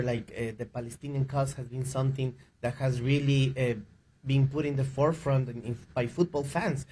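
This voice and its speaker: A man's voice: mid-range voice, a little mellow, slight background noise. A man from Ecuador, a rights advocate, giving an earnest press conference statement at a news conference and often repeating words as he searches for phrasing.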